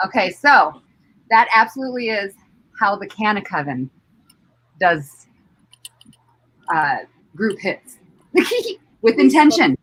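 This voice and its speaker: A woman's voice: mid-range voice, big pitch swings, slow pace, pauses often, a bit bright, breathy edge, low-quality recording. A woman co-hosting a casual livestream from home, enthusiastic and laughing, swearing freely, on a webcam call. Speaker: co-host of a witchcraft livestream. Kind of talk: casual group chat. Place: home room. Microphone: webcam on a video call.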